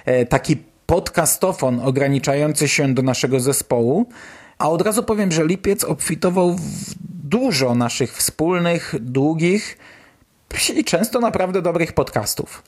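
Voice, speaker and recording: A man's voice: on the high side, breathy edge. A man hosting a podcast in casual, wry, colloquial chat. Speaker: podcast host.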